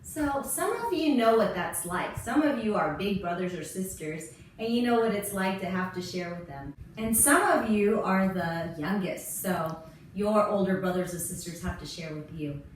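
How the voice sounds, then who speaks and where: A woman's voice: fairly low pitch, animated, moderate pace. A woman teacher giving a lesson to young children, explaining simply and posing questions she then answers. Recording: very echoey, distant mic, faint noise.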